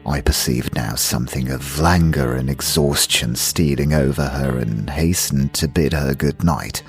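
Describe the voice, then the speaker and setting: A man narrating a dark gothic audiobook in a gravelly, husky voice, with a solemn, theatrical delivery. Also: a bass voice, unhurried, faint music behind.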